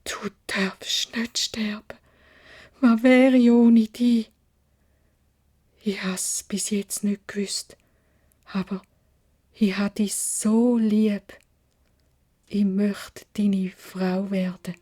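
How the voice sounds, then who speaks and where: A young fairy-tale beauty voiced by a woman narrator, lovestruck and distraught. She pleads slowly in Swiss German, with a breathy, emotional voice that falls away into whispering. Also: medium pitch, steady tone, dark tone. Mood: pleading, tender. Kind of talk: storybook character voice.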